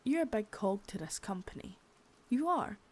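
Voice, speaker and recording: A woman voicing a sadistic, menacing female boss in an ASMR roleplay, whispering softly with spoken threats between. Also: mid-range voice, animated, moderate pace, lightly acted. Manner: taunting and threatening.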